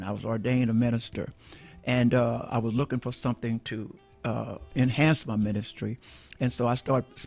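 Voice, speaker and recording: An older man from Mississippi tells stories from his radio days. He is folksy and chuckling, and he often restarts his sentences. Faint music plays quietly behind him. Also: mid-range voice. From Mississippi, USA. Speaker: guest, radio DJ and minister. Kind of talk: relaxed storytelling interview.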